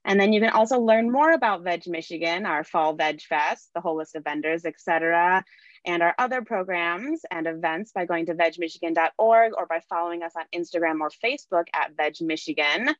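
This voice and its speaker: A young woman with a low voice reads a prepared introduction in a steady, scripted way. Her audio is dry and muffled, like a typical video call connection. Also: fairly quick, no echo. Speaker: nonprofit media and outreach manager. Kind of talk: reading an event introduction. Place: indoor room, video call.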